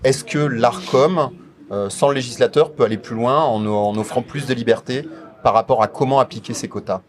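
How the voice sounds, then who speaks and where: A man's voice: medium pitch, moderate pace. A man with a French accent hosting a radio interview, putting loose, winding questions in a conversational way. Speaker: interviewer, show host. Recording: crowd chatter behind voice. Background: event crowd at a radio trade show.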